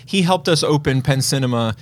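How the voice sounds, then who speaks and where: A man's voice: medium pitch, a little fast. Rambling, casual man co-hosting. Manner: rambling, conversational. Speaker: podcast co-host. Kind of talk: casual chat.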